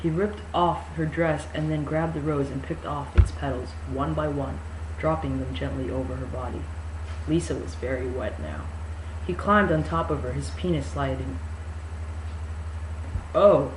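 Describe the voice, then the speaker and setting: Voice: mid-range voice, moderate pace. An amateur reader giving a mock-dramatic novel reading, breaking off into sarcastic, incredulous, sweary asides. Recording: echoey room with faint noise.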